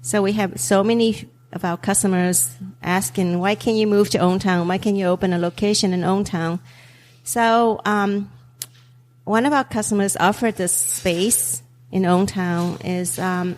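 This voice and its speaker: A woman's voice: medium pitch, a little mellow, slight background noise. A woman who owns restaurants, whose first language is Vietnamese, chatting in an interview with frequent ums and easy laughter. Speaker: restaurant owner. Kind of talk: casual interview chat.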